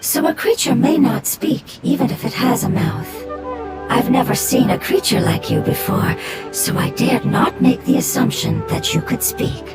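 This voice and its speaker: A woman's voice: high-pitched, sing-song, vocal fry, heavily acted. A woman voicing a small talking fungus creature who speaks formally and takes everything literally. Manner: formal, measured, oddly literal. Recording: game audio over loud music.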